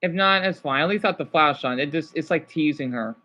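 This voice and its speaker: A man chatting casually to live viewers as a streamer, rambling and halting as he jumps between thoughts. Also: on the high side, bright, forceful tone, muffled recording. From United States.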